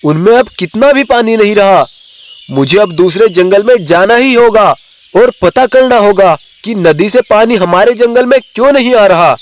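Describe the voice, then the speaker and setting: A man's voice: high voice, lively intonation, normal speed. A man voicing a brave young male lion in an animal story, speaking in a declamatory, commanding way. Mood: earnest, determined. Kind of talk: Hindi animal storybook voice acting.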